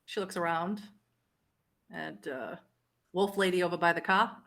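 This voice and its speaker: A woman with a fairly low voice acts as game master. She narrates a tabletop roleplaying scene in a measured, descriptive way. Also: creaky, a bit bright.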